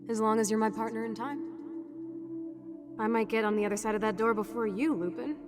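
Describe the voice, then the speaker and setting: A brash young American rebel girl, acted by a woman for a video game. Her voice sits fairly high and she talks in cocky, emphatic slang, fiercely loyal. Music plays clearly behind her. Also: steady tone, fairly quick, lightly acted. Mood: playful, teasing. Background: game soundtrack music.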